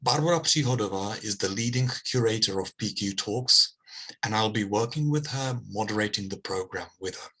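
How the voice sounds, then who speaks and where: A man, a drama professor, introduces himself briskly and formally. His low voice is husky with a bright, forceful edge. He presents his curatorial role. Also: low-quality recording. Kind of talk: prepared self-introduction.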